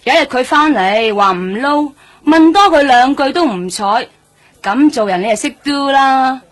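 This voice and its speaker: An actress playing a jaded, streetwise nightlife hostess in a Cantonese radio drama, talking in a blunt, offhand, slangy way. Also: female voice, high-pitched, expressive, normal speed. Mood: guarded, offhand. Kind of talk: radio drama acting, colloquial dialogue.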